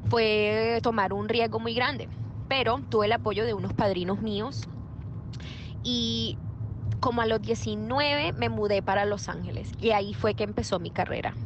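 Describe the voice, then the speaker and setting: A young adult woman from Venezuela, an actress answering interview questions in an animated way, with quick restarts and repeated words. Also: medium pitch, lo-fi sound, background noise. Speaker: actress guest.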